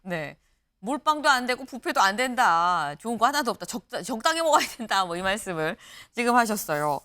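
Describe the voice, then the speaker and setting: A woman's voice: a little high, sing-song, brisk, bright, forceful tone. A woman news anchor hosting a morning news talk show, chatty and laughing with her guests. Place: TV studio.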